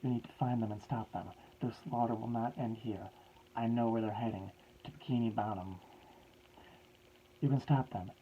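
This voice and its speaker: A man's voice: mid-range voice, fairly even intonation, normal speed, highs cut off, slight background noise, lightly acted. A man voicing a grieving middle-aged male scientist who pleads in a raised, strained voice. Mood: urgent.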